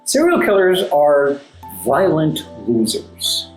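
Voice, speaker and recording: A man speaking as an interview guest, explaining and telling stories in a thoughtful, halting way with emphatic asides. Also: a little high, wildly swooping pitch. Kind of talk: conversational storytelling and explaining in an interview. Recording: roomy echo, music underneath. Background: classical background music.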